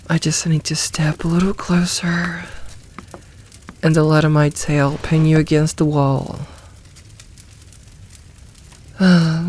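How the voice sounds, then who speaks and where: A woman voicing a possessive, teasing, dominant demoness in a soft, intimate ASMR roleplay, commanding and deliberate. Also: low voice. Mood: menacing, teasing.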